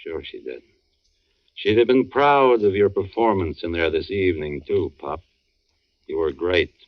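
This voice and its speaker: An actor playing an earnest, somber man, a knowing family friend, with measured dramatic delivery in 1940s radio style. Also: sits low, animated. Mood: gentle, hesitant. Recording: muffled old radio recording.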